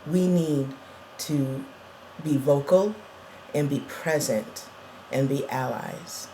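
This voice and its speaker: A woman co-host speaks in a calm, measured way. Her voice is very low and mellow, and she takes her time. A faint background hum sits under her words. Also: poor audio. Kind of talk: casual chat.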